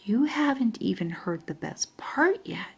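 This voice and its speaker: A woman's voice: medium pitch, very animated, measured pace, vocal fry, slightly rough, heavily acted. Woman acting affectionate, coaxing old grandpa. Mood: secretive. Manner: coaxing, with tag questions. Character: affectionate, playfully persuasive grandfather.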